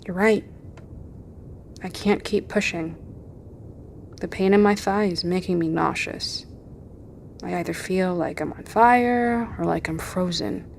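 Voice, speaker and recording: A woman's voice: lowish voice, measured pace, creaky, pauses often, a little hiss or hum. Tough, wounded fugitive, played by a woman, clipped and tense, in pain. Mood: weary. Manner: tense, clipped, often whispering. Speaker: wounded survivor. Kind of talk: audio drama acting.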